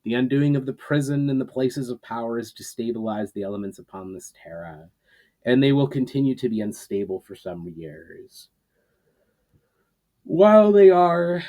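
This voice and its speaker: A male reader takes on a solemn, earnest leader addressing his armies. He speaks in measured, declamatory phrases at an average male pitch, as in a dramatic storybook reading. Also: dark tone, lightly acted.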